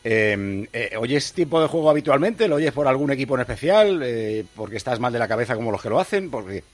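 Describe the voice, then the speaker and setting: A man hosting a live radio show, teasing and joking as he asks quick questions. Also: medium pitch, lively intonation, dry recording. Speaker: radio host.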